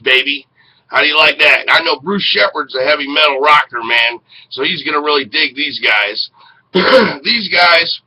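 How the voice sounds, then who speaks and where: Raspy, forceful male music show host. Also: fairly high pitch, low-quality recording. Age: middle-aged. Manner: hyped, slangy showman. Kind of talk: casual radio DJ-style introduction. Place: small indoor room with poster-covered walls.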